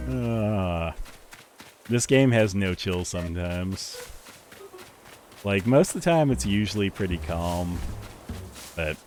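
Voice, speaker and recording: A young man with a deep voice chatting casually with viewers while he plays a game on a live stream, rambling in a relaxed way. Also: slow pace, pauses often, slight background noise. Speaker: livestreamer. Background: faint game music.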